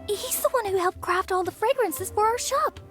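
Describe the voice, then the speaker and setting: Very high-voiced shopwoman. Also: normal speed, a bit bright, faint music behind. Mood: shocked. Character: shocked female perfume shop worker. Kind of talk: video game voice acting.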